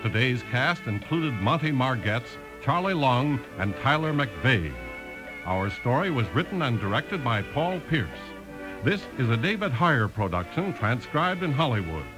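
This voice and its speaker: A man's voice: mid-range voice, big pitch swings, a little slow, over music, low-quality recording. A man with an American accent reading scripted closing credits as a vintage radio announcer.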